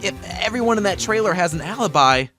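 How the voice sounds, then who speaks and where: A man's voice: sits high, animated, fast talker, sharp tone, long reverb tail, soft background music. A man playing an earnest, easily flustered young defense lawyer in an amateur courtroom game fan dub, emphatic and reactive. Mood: resigned.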